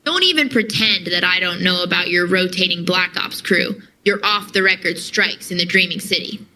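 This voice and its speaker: An actress playing a defiant, headstrong soldier in an audio drama, arguing forcefully. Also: female voice, fairly low pitch, moderate pace, a little hiss or hum, low-quality recording. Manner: forceful, argumentative. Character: defiant, headstrong female soldier confronting her commander.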